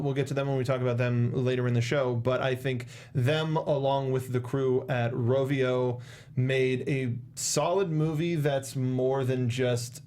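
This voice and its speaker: A young man hosting a movie discussion, talking casually with halting, repeated words into a close studio microphone. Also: medium pitch, a little mellow. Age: young adult. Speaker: show host. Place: small indoor podcast studio. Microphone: close studio microphone with foam cover, headset monitoring.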